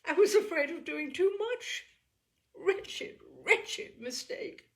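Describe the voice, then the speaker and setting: Woman acting out a distraught, high-pitched young gentlewoman. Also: expressive, slow, gravelly, exaggerated voice. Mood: anguished, hopeless. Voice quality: breathless, breaking voice. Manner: emphatic, anguished exclamations. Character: distraught young gentlewoman, breathless, agitated, near tears. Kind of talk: dramatised audiobook reading.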